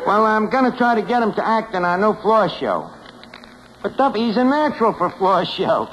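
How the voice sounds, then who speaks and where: A scheming tavern manager with a New York accent, high and very animated. He butters people up in ungrammatical slang. It is vintage radio comedy, very noisy, with a loud studio audience. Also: normal speed, over a noisy crowd. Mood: chatty, wry. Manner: ungrammatical slang with misused fancy words. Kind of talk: 1940s radio comedy acting.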